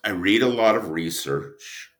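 Male writer as podcast guest: lowish voice, very unhurried, halting, informal storyteller. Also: crowd noise. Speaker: writer and producer, interview guest. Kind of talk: casual, anecdotal interview talk.

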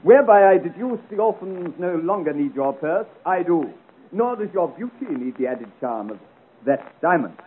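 Swaggering high-pitched highwayman captain, tinny old recording. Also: sing-song, normal speed. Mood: smug, mock-gallant. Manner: florid, sneering, theatrical speech with mock gallantry. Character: swaggering, mocking villain, captain of highway cutthroats. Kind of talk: 1950 radio serial acting. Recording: tinny, hissy old 1950 radio recording.

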